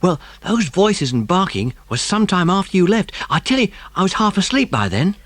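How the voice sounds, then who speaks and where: A man's voice: high-pitched, very animated, normal speed, fairly bright tone, no echo, voice pushed up, exaggerated voice. A man voicing an eager, admiring, earnest British boy with a hesitant manner in a dramatised audiobook. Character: awed, admiring working-class young boy, simple and eager.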